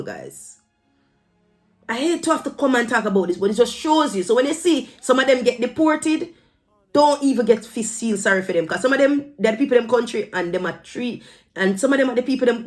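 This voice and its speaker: A woman from Jamaica with a Jamaican accent, talking casually to her viewers in an emphatic, admonishing way and slipping into Patois phrases. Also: a little high. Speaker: vlogger giving her opinion. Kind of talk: casual opinion talk to camera.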